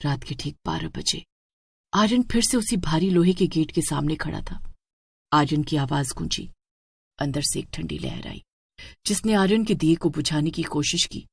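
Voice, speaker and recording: A woman's voice: deep voice, expressive, moderate pace, gravelly, breathy edge, dry recording, studio quality. A woman giving a dramatic scripted narration of a horror story.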